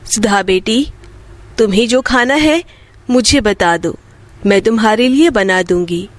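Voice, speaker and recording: A woman plays a poor old mother from a village in a bedtime story. She is loving and plain-spoken, with a motherly way of talking. Her delivery is unhurried and the sound is dry. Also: mid-range voice, dry recording, voice pushed down, natural voice. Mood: caring. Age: 60s. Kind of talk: Hindi bedtime moral story dialogue.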